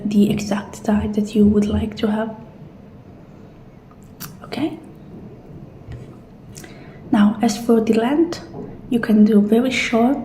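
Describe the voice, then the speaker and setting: A woman doing a soft, partly whispered ASMR roleplay as a gentle attendant walking a client through options. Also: medium pitch. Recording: roomy echo, faint background noise.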